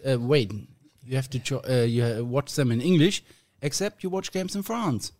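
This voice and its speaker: A man from Germany with a German accent, a podcast guest talking in a halting, self-deprecating way with small grammar slips. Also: mid-range voice, a little mellow. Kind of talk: casual podcast chat.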